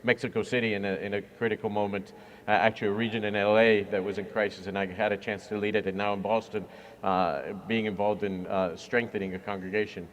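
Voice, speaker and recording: Church minister guy talking earnest, lots of uhs, low hiss behind him. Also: flat delivery, quick pace. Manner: earnest, reflective, with frequent fillers. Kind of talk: conversational interview.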